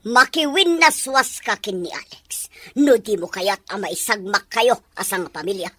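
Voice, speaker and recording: A woman's voice: medium pitch, a little slow, a bit bright, no room sound. A woman playing a domineering, haughty rich mother in a melodramatic audio drama, scolding and imperious. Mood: threatening, disdainful. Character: domineering, haughty rich mother pushing her son to marry. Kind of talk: melodramatic radio-style drama acting.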